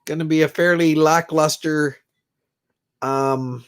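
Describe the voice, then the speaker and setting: A man's voice: a little high, steady tone, slow pace, clean tone. Middle-aged guy on his stream, all congested, rambling and saying hi to chat from his room. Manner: rambling, chatty, greets viewers by name. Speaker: livestream host. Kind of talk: casual live-stream chat with viewers. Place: his own room at home.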